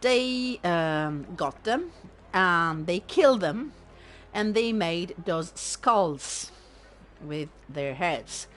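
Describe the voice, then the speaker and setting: A woman with an Italian accent, casually commenting on a game stream in a sardonic, animated way and swearing freely. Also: fairly low pitch, very slow, slight background noise. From Italy. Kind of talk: casual let's-play commentary.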